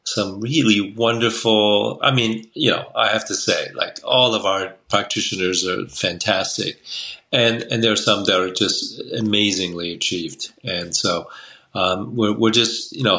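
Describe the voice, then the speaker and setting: A man's voice: low-pitched, bright, forceful tone, rough recording. A man in his sixties from Taiwan, a doctor as the guest, talking casually over a video call from home with many fillers and restarts. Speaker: guest, Chinese medicine doctor. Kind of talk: casual interview talk. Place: home room by a window. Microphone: video call webcam.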